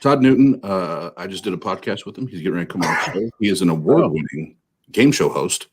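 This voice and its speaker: A married man chatting as a guest on a video call, with a very low, husky voice. He gets enthusiastic and trips over his words now and then. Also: expressive, fast, darkish tone. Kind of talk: casual group chat.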